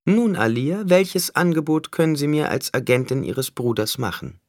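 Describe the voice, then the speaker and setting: A man's voice: a little high, expressive, moderate pace, no echo, clean studio sound, voice pushed up. A man voicing a cunning shapeshifter villain in an audiobook, smug and taunting as he negotiates with menace. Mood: self-satisfied. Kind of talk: audiobook character acting.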